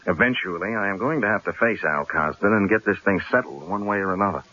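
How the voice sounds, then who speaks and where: A wry newspaper columnist in a radio play, stubborn under threat and quick to be flippant. His voice is low, and the recording is muffled with a light hiss. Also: pushed and bright. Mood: grim, resolute. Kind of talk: old-time radio drama acting. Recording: dull, hissy radio drama recording.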